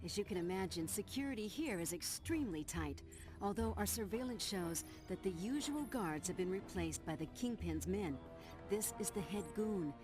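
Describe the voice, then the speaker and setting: A woman's voice: mid-range voice, lively intonation, normal speed, music in the background, lightly acted. A woman playing a composed intelligence officer, giving businesslike, explanatory mission briefings in a video game.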